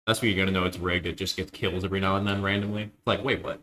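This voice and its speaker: A young man doing live game commentary, quick and joking with self-deprecating asides. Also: low-pitched, fairly even intonation, fairly bright tone, slight background noise. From Illinois, United States. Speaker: esports commentator.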